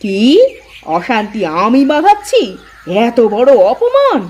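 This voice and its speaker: A woman's voice: high-pitched, wildly swooping pitch, a little slow, highs cut off, a little hiss or hum, full character voice. A woman voicing a bossy, easily offended elder daughter-in-law in a Bengali cartoon story. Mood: outraged.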